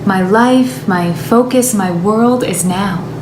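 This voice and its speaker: A woman's voice: mid-range voice, takes it slowly, lightly acted. A woman acting a chatty, wistful, self-deluding woman who confides in her sister in a confessional stage monologue. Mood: hopeful, insistent. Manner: confessional, rambling, emphatic. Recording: echoey room with background noise.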